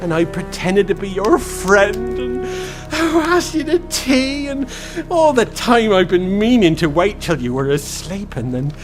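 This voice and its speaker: An actor playing a timid, guilt-ridden fawn in a radio drama, faltering and plaintive. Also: male voice, sits high, sing-song, measured pace, hardly any pauses, over music. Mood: anguished. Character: tearful, guilt-ridden male fawn, timid and self-reproaching.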